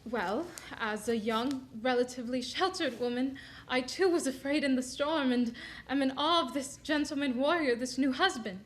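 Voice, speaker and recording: An actress playing a poised young actress, tactful and polite as she gently corrects her scene partner. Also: high-pitched, moderate pace, slight background noise, lightly acted. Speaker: actress in a dramatized rehearsal. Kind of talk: period drama acting.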